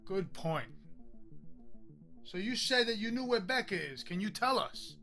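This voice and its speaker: An actor playing an impulsive, exasperated brother in a naturalistic audio drama, with a sarcastic way of talking. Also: male voice, sits high, very animated, a little slow, bright, forceful tone, soft background music, heavily acted. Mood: impatient. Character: George, impulsive, sarcastic brother eager to open the door.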